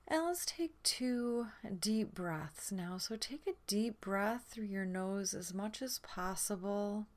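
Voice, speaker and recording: A woman leading a guided sleep meditation in a gentle, soothing manner, her soft voice often trailing into a breathy near-whisper. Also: medium pitch. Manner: soothing, gentle, unhurried with long pauses.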